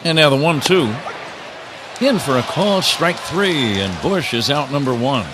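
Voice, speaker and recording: A man's voice: on the high side, measured pace, noisy background. A man calling a baseball game live on the radio as the play-by-play announcer, with the ballpark behind him. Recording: steady ballpark ambience behind the voice. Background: outdoor ballpark ambience.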